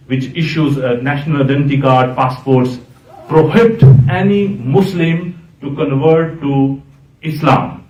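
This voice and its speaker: A man's voice: fairly high pitch, a little mellow, lo-fi sound. Earnest man, distant echoey voice. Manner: earnest, halting, repeats and corrects himself. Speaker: panel speaker. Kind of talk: conference panel remarks. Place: large hotel conference hall. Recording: echoey hall, voice from off the mic.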